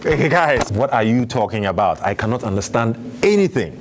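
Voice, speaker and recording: A man hosting a lively debate show, talking in a provocative, challenging manner. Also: medium pitch, normal speed, over music.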